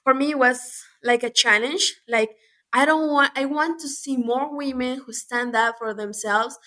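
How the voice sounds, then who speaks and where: Bright-voiced woman. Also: sits high, unhurried. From Galapagos Islands, Ecuador. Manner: lively, many restarts and fillers. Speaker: guest. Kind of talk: casual interview talk.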